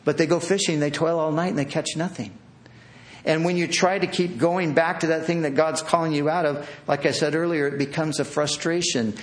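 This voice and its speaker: A pastor preaching a sermon to a room of listeners, earnest and pleading, with repeated phrases and restarts. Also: male voice, on the high side. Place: church hall with an audience.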